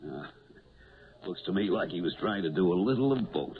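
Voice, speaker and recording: Deep-voiced, genial police lieutenant. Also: male voice, animated, fairly quick. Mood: wry, matter-of-fact. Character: genial, shrewd police lieutenant recruiting a helper. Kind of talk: 1970s radio drama acting. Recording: tinny, hissy 1970s radio broadcast.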